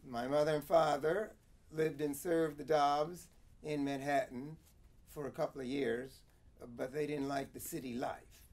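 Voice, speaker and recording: Male, 70s, American, for oral history recollection; unhurried delivery, formal and measured wording. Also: on the high side, fairly even intonation, darkish tone. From Connecticut, USA. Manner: deliberate, measured, formal phrasing. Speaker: interviewee, former attorney.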